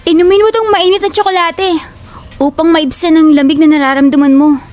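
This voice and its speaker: A woman's voice: very high voice, steady tone, brisk, a little mellow. A woman voicing a stubborn, devoted young woman who cares for a friend. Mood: caring. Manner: playful, headstrong, affectionate. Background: faint music.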